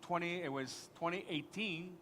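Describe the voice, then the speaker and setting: A man's voice: high-pitched, normal speed, bright, forceful tone, a little hiss or hum. A man from Quebec with a French-Canadian accent, a native French speaker, speaking as a panelist at a live conference and rambling enthusiastically with many restarts.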